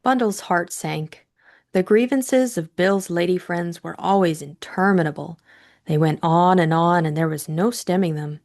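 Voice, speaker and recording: Woman reading a story for an audiobook, voice a bit low, dry close recording. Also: a little slow, darkish tone, no room sound. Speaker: audiobook narrator and co-host. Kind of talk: scripted storytelling narration.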